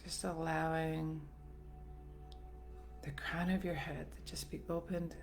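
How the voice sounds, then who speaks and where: A woman leading a guided meditation in a soothing, gentle, unhurried manner with long pauses. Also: deep voice, little pitch movement, gravelly. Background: soft background music.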